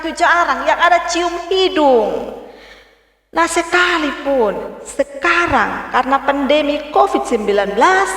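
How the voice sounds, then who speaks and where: A woman pastor with a Kupang accent preaching a sermon in a conversational way, her pitch and loudness often dropping and rising again. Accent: Kupang Indonesian. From Rote, East Nusa Tenggara, Indonesia. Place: church. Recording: echoey church hall, mic far off.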